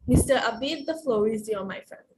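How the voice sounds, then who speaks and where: A young woman with a fairly high voice emcees a formal ceremony. Her welcome is elaborate and ornate. Faint room ambience sits behind her. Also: a little fast, darkish tone, a little hiss or hum. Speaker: event host.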